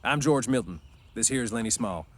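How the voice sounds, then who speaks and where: A man reading aloud, voicing George, a wary, protective young male farmhand with plain, rough speech. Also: mid-range voice, animated, moderate pace, close to an everyday voice. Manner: plain, guarded, rough-spoken.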